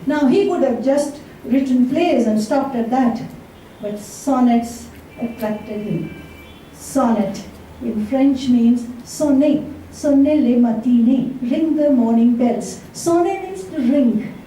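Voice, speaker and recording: A woman's voice: high voice, expressive, slow pace, warm tone. A woman giving an effusive, emphatic introduction at a live literary event, savoring her phrases. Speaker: event speaker introducing readers. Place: large echoey room at a live event. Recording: echoey hall, distant mic, faint noise.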